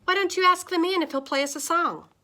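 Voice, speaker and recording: A woman's voice: extremely high pitch, fast talker, voice pushed up. Woman doing a cheerful, wise grandmother. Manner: warm, reassuring, folksy.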